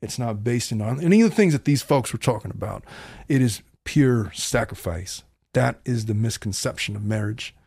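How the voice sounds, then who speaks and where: A middle-aged man with a gravelly, fry-edged voice delivers a blunt, earnest podcast monologue. He circles back and restarts his thoughts as he goes. His voice sits at an ordinary pitch and sounds close to the mic in a dry, dead room. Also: animated, normal speed, a little mellow, no room sound. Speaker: podcast host and family law attorney.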